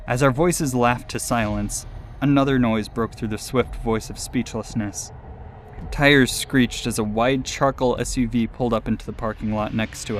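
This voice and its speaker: A man's voice: mid-range voice, a little hiss or hum. A man narrating a personal scary story as a scripted dramatic reading.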